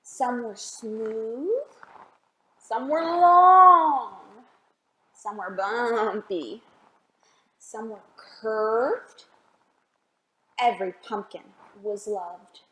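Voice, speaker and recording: Slow, high-voiced woman reading to children. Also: reverberant, low-quality recording. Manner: expressive, asks the children questions. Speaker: library storytime reader. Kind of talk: children's picture-book read-aloud with asides.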